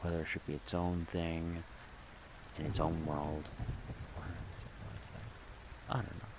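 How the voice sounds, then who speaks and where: Male, deep and unhurried, soft-spoken casual ASMR rambler, rain-like noise behind the voice. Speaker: ASMR creator rambling about a project. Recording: steady rain-like background noise.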